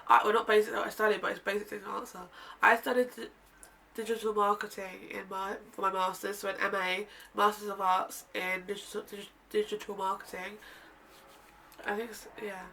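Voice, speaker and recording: A woman's voice: mid-range voice, little pitch movement, fairly quick, vocal fry, a bit bright, slight background noise. A woman in her twenties, nasal and bunged up, chatting and rambling in a casual sit-down vlog. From United Kingdom. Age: 20s. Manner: rambling, chatty, self-correcting. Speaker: vlogger. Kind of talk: casual sit-down chat to camera. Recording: distant mic with faint room ambience.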